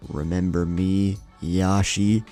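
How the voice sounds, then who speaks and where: A man voicing an enraged, vengeful crystal golem villain with a gravelly, bitter, yelling delivery in an audio story. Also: deep voice, flat delivery, faint music behind. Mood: menacing, angry. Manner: yelling, bitter, drawn-out accusations.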